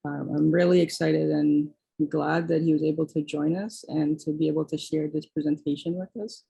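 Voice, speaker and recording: A woman with a husky, gravelly voice introduces a guest on a video-call webinar. Her tone is mellow and her pitch stays flat. She fills her phrases with "um" and "uh". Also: normal speed, poor audio. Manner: hesitant, with frequent fillers. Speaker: moderator introducing the speaker.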